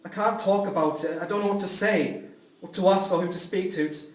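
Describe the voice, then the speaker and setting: A man's voice: high voice, steady tone, fairly quick, distant mic, close to an everyday voice. A man playing a defensive, wounded sportsman of twenty-one with a sulky, blunt manner in a script reading. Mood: dejected. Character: hurt, defensive 21-year-old male tennis player. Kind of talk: audio drama script reading. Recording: echoey room, off mic.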